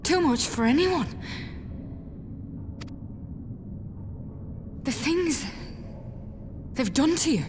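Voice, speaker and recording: High-voiced, bitter, defiant young woman soldier. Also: expressive, a little slow, background noise. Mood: stunned, pained. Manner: defiant, anguished, intense. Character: bitter, vengeful young woman soldier haunted by her father's death. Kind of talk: dramatic video game cutscene acting.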